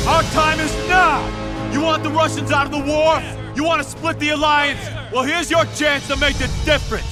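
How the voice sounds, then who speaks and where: A man acting as a tough military commander in a video game, rallying troops in a forceful, shouted, commanding way. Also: very high voice. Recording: music behind the voice.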